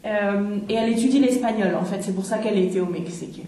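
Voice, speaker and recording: A young woman talks through a set of photos in relaxed conversation practice. She hesitates often and fills pauses as she goes. Her voice is mellow, in an echoey room. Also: medium pitch. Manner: hesitant, many fillers. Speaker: interviewer describing photos.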